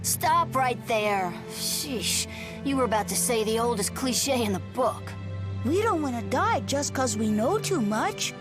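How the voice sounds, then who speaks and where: Blunt little game spirit, slangy, highish voice that jumps around a lot. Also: female voice, animated, unhurried, over music. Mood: annoyed. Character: blunt, scrappy young spirit, loyal and informal. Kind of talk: animated video game voice acting.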